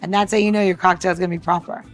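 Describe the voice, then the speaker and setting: A woman's voice: fairly low pitch, fairly even intonation, very fast, faint music behind. A woman from the United States co-hosting a podcast with casual, chatty, blunt banter and practical how-to tips. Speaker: podcast co-host.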